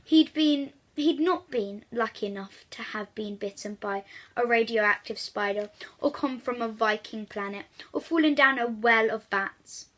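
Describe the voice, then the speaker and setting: A woman reading a storybook aloud for young children, animated and addressing her listeners directly. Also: a little high, a little slow. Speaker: children's book reader.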